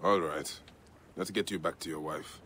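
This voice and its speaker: An actor playing a grave, steady Egyptian warrior and father who speaks in a calm, measured, formal way. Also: very deep voice, a little hiss or hum. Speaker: Medjay protector.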